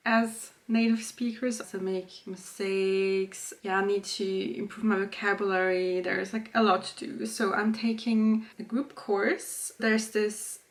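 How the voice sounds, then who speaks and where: Unhurried Swiss-raised woman chatting. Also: mid-range voice, flat delivery. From Switzerland. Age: 20s. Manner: unhurried, chatty, with fillers and restarts. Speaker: vlogger. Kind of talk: casual day-in-my-life vlog. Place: home kitchen.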